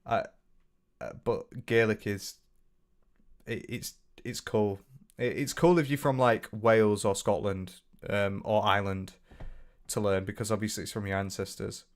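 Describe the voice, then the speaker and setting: A man's voice: fairly low pitch, steady tone, measured pace. A young man with a northern English accent chatting casually on a livestream, reading out viewer comments with lots of restarts and the odd swear. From north of England. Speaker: streamer.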